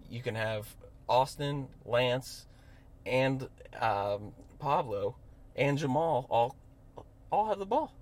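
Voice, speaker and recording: A man's voice: mid-range voice, expressive, takes it slowly, pauses often. A man co-hosting a casual sports podcast, hesitant, often stammering and restarting his sentences. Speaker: podcast co-host.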